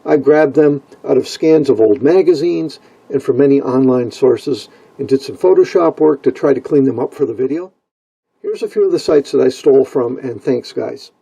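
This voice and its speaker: Older male hobbyist narrator, gravelly and dark-toned, wry and folksy, reading informal video voice-over. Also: medium pitch, normal speed, low-quality recording. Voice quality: husky, gravelly. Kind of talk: informal scripted narration about vintage radios.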